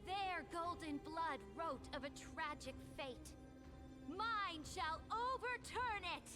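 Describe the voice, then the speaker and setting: A woman's voice: very high-pitched, expressive, slow pace, full character voice. Fierce warrior queen rallying troops. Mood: rousing. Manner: rousing, declamatory. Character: commanding warrior queen rallying her people. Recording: game audio with loud music behind.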